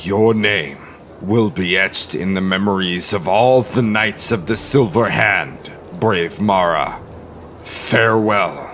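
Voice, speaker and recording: A man playing a grave, noble, weary human knight commander in a fantasy game cutscene, solemn and gravelly. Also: a bit bright, a little hiss or hum. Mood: mournful. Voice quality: low, gravelly. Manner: slow, solemn, burdened.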